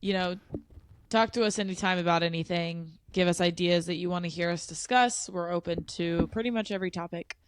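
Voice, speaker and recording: A woman's voice: on the low side, a little fast, smooth. A young woman in her early twenties co-hosting a podcast, chatting in a playful, casual way. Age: young adult. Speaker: podcast co-host. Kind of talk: casual chat.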